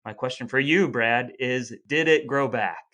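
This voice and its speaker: A man's voice: mid-range voice, measured pace, bright, forceful tone. A man co-hosting a casual podcast chat with his brother, playful and fond of wordplay. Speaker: podcast co-host.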